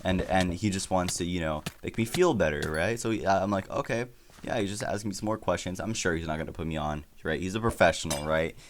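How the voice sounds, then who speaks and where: A man's voice: low voice, fairly quick. A teenage boy whose first language is Spanish, telling a rambling, self-deprecating story while playing a game, often stammering and restarting. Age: teens. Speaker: YouTuber.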